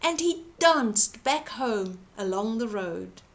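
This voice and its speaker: An older woman reading a children's storybook aloud in an expressive, sing-song way, speaking straight to young listeners. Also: a little high, slow pace, a little hiss or hum.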